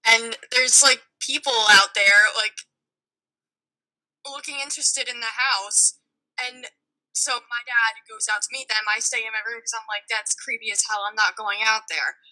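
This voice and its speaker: A young woman's voice, pitched high and strikingly bright and forward. She tells a personal story in casual podcast style, pausing and picking her way through it informally. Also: fairly even intonation, poor audio. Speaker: podcast guest.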